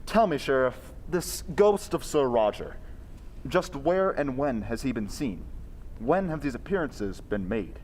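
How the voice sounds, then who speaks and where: An actor playing a calm, bold gentleman investigator in an old-time radio mystery, speaking in a measured, formal way. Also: medium pitch, natural voice. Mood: composed questioning. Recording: reverberant hall, background hiss.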